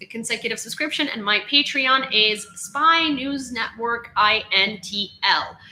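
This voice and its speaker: A woman's voice: medium pitch, pushed and bright, echoey room, poor audio. A young woman from Ukraine hosting a casual news live stream, reading headlines and chatting with viewers. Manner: chatty, laughs often, reads headlines aloud.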